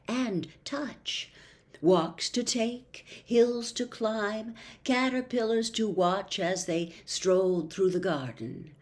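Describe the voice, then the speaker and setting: A woman reading a classic story aloud as narrator, measured and expressive, with dramatic pauses. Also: on the low side.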